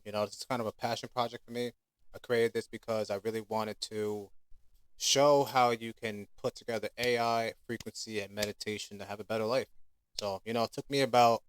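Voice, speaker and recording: A man with a fairly low voice talks casually through a demo of something he built. His delivery is informal and conversational, unscripted rather than read. The sound is close and dry, with little room around it. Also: smooth, no echo. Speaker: app creator giving a product demo.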